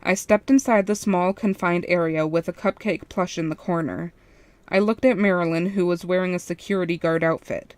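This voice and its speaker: A woman's voice: on the low side, fairly even intonation, moderate pace, a little mellow, dry recording. A woman reading a first-person fan fiction story as its narrator.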